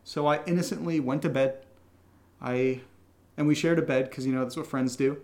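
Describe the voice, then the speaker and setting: A man's voice: medium pitch, steady tone, moderate pace, darkish tone. A man co-hosting a casual question-and-answer chat, telling stories in a playful, self-deprecating way. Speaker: podcast co-host and audio drama writer.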